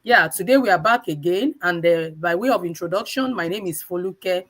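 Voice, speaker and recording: A woman from Nigeria co-hosting a live online talk, speaking in a measured, courteous way. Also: fairly low pitch, animated, a bit bright. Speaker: co-host. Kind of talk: live stream introduction.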